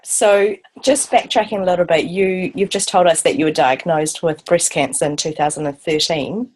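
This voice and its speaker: A woman's voice: lowish voice, moderate pace, poor audio. A woman hosting an interview, prompting her guest with short questions and brief replies. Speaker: podcast host. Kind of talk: conversational interview.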